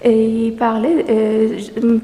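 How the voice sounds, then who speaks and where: A woman artist speaking French as a second language, answering interview questions slowly with many fillers and restarts, recorded in a gallery. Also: fairly high pitch, completely flat delivery, dark tone. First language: not French. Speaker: exhibiting artist. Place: art gallery. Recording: long reverb of a gallery room.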